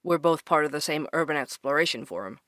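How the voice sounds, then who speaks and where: A man's voice: a little high, lively intonation, fast talker, bright, forceful tone. A man playing an inquisitive, sceptical investigative podcast host in an audio drama, with a casual, probing manner. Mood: businesslike, friendly. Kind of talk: audio drama interview and narration.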